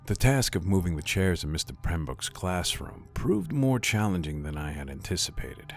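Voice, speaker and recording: Deep guy reading creepy story, ominous, really animated pitch, soft music behind. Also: normal speed. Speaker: horror story narrator. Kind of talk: scripted first-person scary story narration. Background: faint music.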